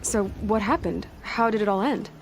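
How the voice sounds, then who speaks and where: A woman's voice: medium pitch, moderate pace, faint music behind, close to an everyday voice, rough recording. A woman playing a young, inquisitive outcast hunter in a video game, speaking in a direct, earnest way. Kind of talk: game cutscene dialogue acting.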